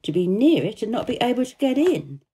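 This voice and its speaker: A woman's voice: a little high, sing-song, fairly quick, mellow, slightly rough, voice pushed up, exaggerated voice. A woman voicing a contrary, well-bred little girl who speaks in a precise, self-possessed way, in a storybook reading. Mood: frustrated.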